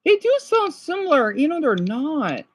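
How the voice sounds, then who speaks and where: A woman's voice: sits high, sing-song, moderate pace, no room sound. A young woman streaming, chatting casually and a bit scattered to her viewers while reacting to clips. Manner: chatty, scattered, talks to chat.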